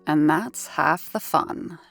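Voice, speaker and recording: A woman's voice: low voice, slow pace, gravelly, a bit bright, heavily acted. An actress playing a wry woman who teases a friend in a dry way in an audio drama, with music playing behind her.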